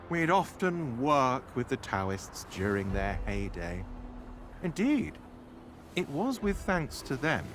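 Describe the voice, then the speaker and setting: Florid, sly money-keeper for a video game: very animated pitch, unhurried, music behind. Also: male voice, heavily acted. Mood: theatrical, wistful. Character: effusive, theatrical, self-humbling male treasurer. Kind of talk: game cutscene acting.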